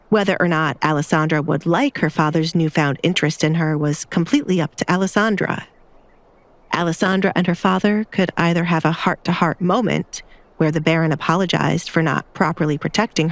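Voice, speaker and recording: A woman's voice: on the low side, no room sound. A woman narrating a scripted dramatic story as an audiobook narrator. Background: background music.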